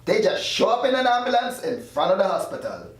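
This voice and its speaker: Male actor as evasive doctor in his thirties, very animated pitch, drama table read, echoey room. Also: brisk. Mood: explaining. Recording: very echoey room, distant mic.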